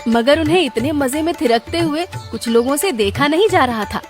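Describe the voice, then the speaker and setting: A woman's voice: high voice, expressive, moderate pace. A woman reading scripted storybook narration for a cartoon. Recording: background music under the voice.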